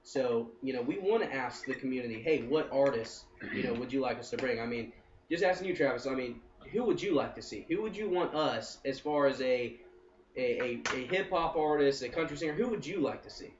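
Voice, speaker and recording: A man's voice: medium pitch, animated, brisk, a crowd in the background. A man in his early twenties, a company co-founder, talking in a rambling, upbeat way in a casual interview, with many "I mean" fillers. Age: 20s. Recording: roomy, distant and dull sound.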